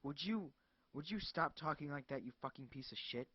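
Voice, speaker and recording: A man voicing a frightened, furious woman who is cornered by strangers, with a shrill, panicky delivery. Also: a little slow, no room sound, close to an everyday voice. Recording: dull lo-fi dry recording.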